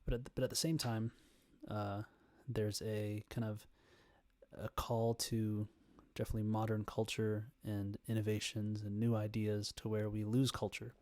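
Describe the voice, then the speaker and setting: Young male, slightly deep voice, student presenter, sincere and hesitant, recorded dry without room echo. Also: level tone, moderate pace, darkish tone. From Canada, Ontario. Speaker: student giving a persuasive speech. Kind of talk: informal prepared speech.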